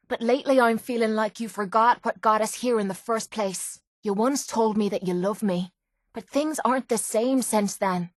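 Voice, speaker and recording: A woman's voice: mid-range voice, no room sound, close to an everyday voice. An actress performs a hard-bitten young Irish woman from a video game. She talks bluntly and with salty language, yet sounds earnest and exposed, as if confessing. The recording is dry and close, with no room around her. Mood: hurt, firm. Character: tough, wounded young woman fighter, blunt yet vulnerable. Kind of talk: video game character acting.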